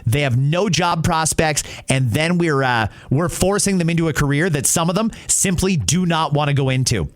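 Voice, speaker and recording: A man's voice: fairly high pitch, moderate pace, few pauses. A man with a Canadian accent hosting an opinion podcast, speaking emphatically and with strong views. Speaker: podcast host. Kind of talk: opinion podcast commentary, reading listener messages.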